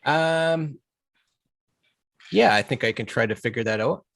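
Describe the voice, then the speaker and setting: A man chatting casually as co-host on an online call, with a halting, hesitant delivery. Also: mid-range voice, expressive, gravelly, a bit bright. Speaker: show co-host. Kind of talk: casual live-stream chat.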